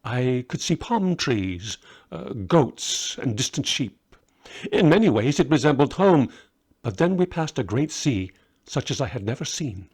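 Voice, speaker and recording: A proud but uneasy astrologer magus from a storybook, voiced by a male reader. He explains himself earnestly in a medium-pitched voice. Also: very animated, measured pace, a little mellow, slightly rough, full character voice. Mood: hesitant recollection.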